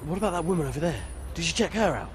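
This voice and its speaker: Game role, wary teen wizard played by a man: British, grumbling, animated pitch, clear background noise. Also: rapid-fire, natural voice. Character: grumbling, wary teenage wizard boy on the run. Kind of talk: video game voice acting.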